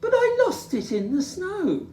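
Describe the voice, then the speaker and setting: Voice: very high-pitched, huge pitch swings, a little slow, warm tone, voice pushed up, heavily acted. A storyteller voicing a small, earnest, easily tearful young male bear in a children's storybook, exclaiming and plaintive. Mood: excited. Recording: roomy, distant microphone.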